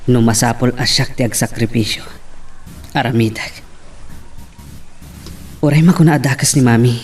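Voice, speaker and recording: An actor with a Filipino accent playing a skeptical, scheming uncle in an audio drama, speaking deliberately. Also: male voice, medium pitch, close to an everyday voice. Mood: brooding, scheming. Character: skeptical, scheming uncle absorbed in local politics. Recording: noticeable background noise.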